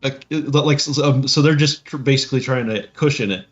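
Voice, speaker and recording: A man co-hosting a podcast, talking casually and haltingly, often repeating phrases and checking in with a questioning "right?". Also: medium pitch, lo-fi sound, soft background music. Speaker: podcast co-host. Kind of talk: casual discussion of tabletop roleplaying games.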